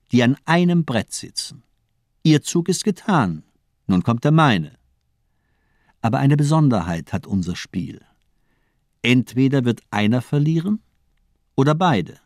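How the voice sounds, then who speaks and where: A man's voice: medium pitch, darkish tone, no room sound, studio quality. An actor playing a murderous, pedantic doctor in a radio crime drama, precise, formal and mocking in his lecturing. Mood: cold, taunting. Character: cold, amused villain doctor, murderous and pedantic.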